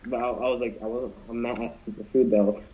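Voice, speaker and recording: A man's voice: medium pitch, level tone, fairly quick. A young man casually telling a story to friends on a podcast, rambling with many fillers and restarts. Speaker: podcast co-host. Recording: dull lo-fi podcast audio.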